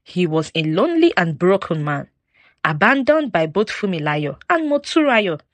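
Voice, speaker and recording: A woman narrating a folktale from a script in a solemn, expressive, dramatic storytelling style. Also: lowish voice, moderate pace, no room sound.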